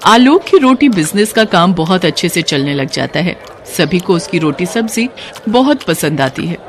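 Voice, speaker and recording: A woman's voice: on the low side, very animated, normal speed, slight background noise. A woman narrating a scripted moral bedtime story as a storyteller.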